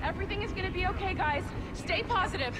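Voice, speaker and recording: A woman's voice: very high voice, fast, over a noisy crowd. An actress playing a chipper, overachieving female office boss in a video game. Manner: upbeat, peppy, forced cheer. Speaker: game character.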